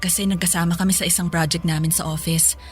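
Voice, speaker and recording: A woman's voice: lowish voice, level tone, fast, soft background music, natural voice. An actress playing a chatty young Filipino office woman, breezy and coy, mixing English into her talk in a radio drama. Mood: confessional. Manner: casual Taglish, breezy, coy.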